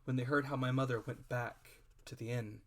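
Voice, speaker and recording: A man's voice: mid-range voice, fairly even intonation, normal speed. A man reading a classic adventure story aloud as scripted, dramatic narration. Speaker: audiobook reader.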